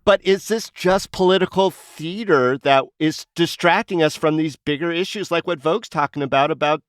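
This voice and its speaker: A man co-hosting a conversational news podcast, talking in an animated, probing way. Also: a little high, normal speed, clear, resonant tone, no room sound, studio quality. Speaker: podcast co-host.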